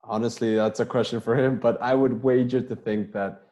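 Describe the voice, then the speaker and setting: A young man, a soccer player, answering interview questions over a video call in a relaxed, rambling way, often repeating words as he talks. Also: medium pitch, normal speed. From United States.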